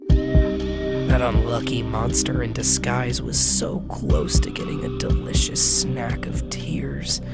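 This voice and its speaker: An actor playing a droll, creepy creature who hosts a campy horror anthology, with a gravelly voice. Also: medium pitch, lively intonation, takes it slowly. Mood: droll, ghoulish. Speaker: podcast host character. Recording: loud music behind the voice.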